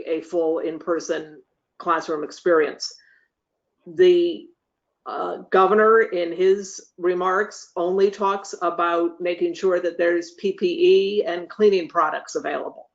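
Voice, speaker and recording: A meeting facilitator giving careful, measured explanations and answers, choosing words hesitantly in an online meeting. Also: mid-range voice, vocal fry. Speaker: meeting facilitator answering board chairs' questions. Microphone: video call. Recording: dull lo-fi video call audio.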